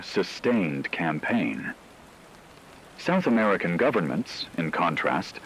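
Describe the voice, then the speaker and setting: A man voicing a grave radio war correspondent, reading a scripted news report in a formal, measured way. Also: fairly low pitch, a bit bright, slight background noise, poor audio.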